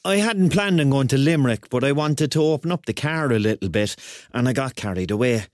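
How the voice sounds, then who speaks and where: An actor playing a rambling, excitable thirty-year-old man with a Cork accent, telling his story colloquially in a radio drama. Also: mid-range voice, fairly quick, no room sound, clean studio sound, lightly acted. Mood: confiding, restless. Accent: Cork Irish. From Cork, Ireland. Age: 30s. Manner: colloquial, digressive, conversational. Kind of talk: first-person radio drama narration and dialogue.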